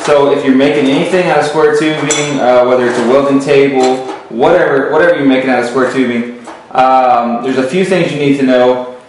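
A man's voice: medium pitch, fairly even intonation, brisk, faint music behind. A male welding instructor giving a hands-on lesson, in a plain way with lots of fillers and repeated words. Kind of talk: hands-on tutorial. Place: metal workshop. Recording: very echoey workshop, distant mic.

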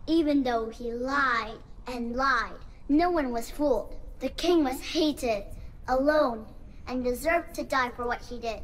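A woman's voice: sits high, a little slow, poor audio. A woman voicing a tender, solemn, knowing ghost of a little girl in a video game. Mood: solemn, accusing. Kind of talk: game acting, storybook-like delivery.

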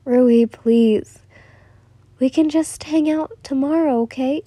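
Young big-sister role, acted by a woman: caring, torn, apologetic, mellow tone, close dry sound, audio story. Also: high voice, slow, dry recording. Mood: urgent pleading. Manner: pleading, apologetic, soothing.

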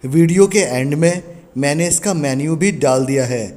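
A man's voice: fairly high pitch, moderate pace. A man in his thirties vlogging enthusiastically to his viewers, filming on a phone at arm's length outdoors at a roadside restaurant at night. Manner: enthusiastic, addresses viewers as friends. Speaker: travel vlogger. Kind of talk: casual travel vlog. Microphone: phone held at arm's length. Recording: outdoor phone audio with loud music and crowd. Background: music and crowd chatter.

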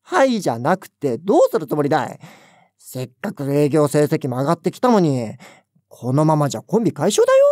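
A man's voice: on the high side, huge pitch swings, a little fast, darkish tone, no echo, studio quality. An actor playing a stern middle-aged male section manager who scolds his employee in a paternal way. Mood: reproachful. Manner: scolding, paternal boss talk.

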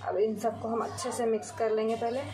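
A woman hosting a cooking channel, calmly explaining a recipe step by step from her home kitchen. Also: fairly high pitch, brisk, very noisy.

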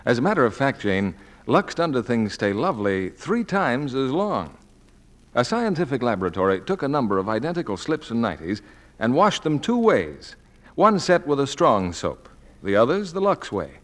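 Lively 1940s radio announcer man. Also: mid-range voice, moderate pace. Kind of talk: polished 1940s radio announcing and sponsor pitch.

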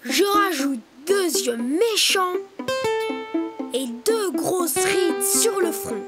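A performer voicing a brave, cheerful little boy rabbit in a preschool cartoon, explaining things clearly and encouragingly. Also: very high-pitched, animated, very slow, heavily acted. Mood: instructive. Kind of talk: preschool cartoon voice acting, instructing. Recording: music behind the voice.

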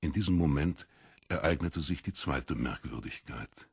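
Casting: male audiobook narrator, low and raspy, dramatic literary delivery, close dry recording. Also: lively intonation, moderate pace, a little mellow, no room sound.

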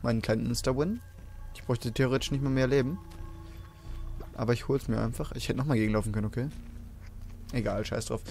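A hoarse man casually commenting on his gameplay, chatty and swearing now and then as he explains things to viewers. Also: mid-range voice, fast talker, long pauses, darkish tone, soft background music. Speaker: let's play host.

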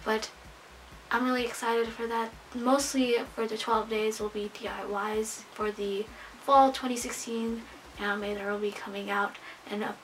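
A young woman chatting to the camera in a small bedroom, bubbly and gesturing as she talks. Her voice sits fairly high but stays flat in its melody, and it sounds a little worn, like she is losing it. A faint hiss hangs under the recording. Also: moderate pace, low-quality recording. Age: young adult. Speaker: vlogger. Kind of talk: casual chat to camera. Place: small bedroom full of anime figures, indoors.

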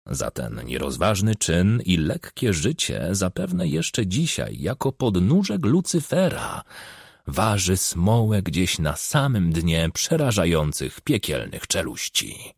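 A man narrates an audiobook crime story. His voice is low and husky, with pitch that moves freely through measured, expressive phrases. The sound is close and dry. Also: no room sound. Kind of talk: scripted narration of a crime novel.

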